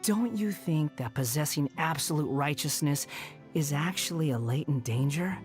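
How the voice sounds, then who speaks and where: A low-pitched, haughty game villain type, dry and sardonic in delivery. Every phrase drips with condescension, over faint background music. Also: female voice, expressive, normal speed, darkish tone. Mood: mocking. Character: haughty, sardonic young wanderer, a former villain with mechanical parts. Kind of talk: video game character voice-over.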